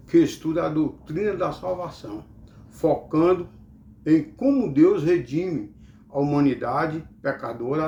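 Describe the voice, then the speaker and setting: A man's voice: on the high side, darkish tone, reverberant, muffled recording, a little hiss or hum. A man from Bahia with a Bahian Brazilian accent, teaching the Bible like a preacher, in a didactic way with rhetorical check-ins.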